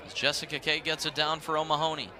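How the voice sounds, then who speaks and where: A man's voice: on the high side, flat delivery, fast. A man calling live sports play-by-play in a live commentary style. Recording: noisy live stadium broadcast. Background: stadium ambience.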